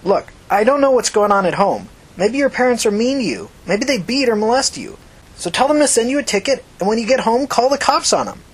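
Lady narrator doing streetwise young woman in a novel, caring but blunt, higher voice, really animated. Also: brisk, slightly rough. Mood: urgent, blunt. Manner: urgent, earnest, plain-spoken, profane. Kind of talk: audiobook character acting.

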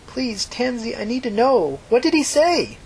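A woman's voice: a little high, very animated, measured pace, darkish tone, slight background noise, pitched above the performer's own voice, poor audio. A woman voicing a desperate, stammering teenage runaway girl in an emotional audiobook story. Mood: desperate pleading. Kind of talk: audiobook character dialogue.

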